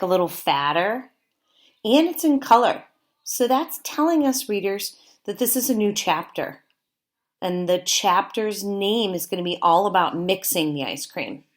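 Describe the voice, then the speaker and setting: A woman teacher reading aloud to young children in simple, deliberate phrasing, pausing to explain as she goes. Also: mid-range voice. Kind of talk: read-aloud lesson with explanatory asides.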